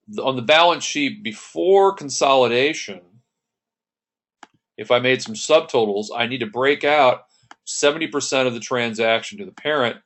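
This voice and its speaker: A man teaching an accounting lesson, working through the entries one step at a time and clearing his throat now and then. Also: medium pitch, fairly bright tone. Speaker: accounting instructor. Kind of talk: lecture over slides.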